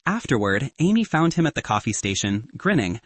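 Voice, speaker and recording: A man narrating a romantic story as an audiobook, reading with expressive storytelling. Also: medium pitch, fairly quick, no room sound.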